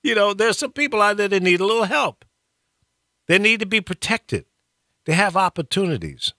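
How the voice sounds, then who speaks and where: Fiery man with a lively, bright voice. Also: sits high, moderate pace, no echo, studio quality. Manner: blunt, fiery, stammers and repeats words. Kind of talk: political rant on talk radio.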